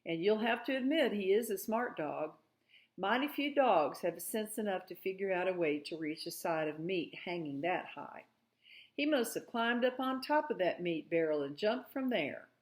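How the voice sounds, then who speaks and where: A woman voicing a practical frontier mother, reasoning in a folksy, gently firm way. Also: mid-range voice, expressive, moderate pace, natural voice. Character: practical, fair-minded frontier mother of two boys.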